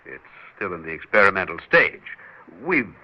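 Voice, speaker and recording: A smooth, persuasive research doctor who coaxes people along with little hesitations. His voice is lively and expressive. The old radio recording sounds dull and lo-fi. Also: low-pitched, normal speed. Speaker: scientist. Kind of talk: 1960s radio drama acting.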